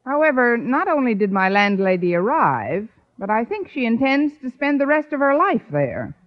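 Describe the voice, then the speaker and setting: Lively, wry schoolteacher in radio comedy. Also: female voice, fairly high pitch, moderate pace. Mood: wry narration. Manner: dry, sardonic wisecracking. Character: wry, quick-witted woman schoolteacher with a landlady. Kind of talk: old-time radio situation comedy acting. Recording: dull vintage radio recording.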